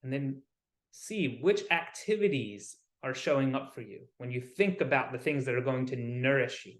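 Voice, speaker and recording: A man with a mid-pitched voice leads a guided meditation in a roomy, echoing space. He speaks soothingly and without hurry, in short phrases with pauses between them, as a wellness coach would. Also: a bit bright.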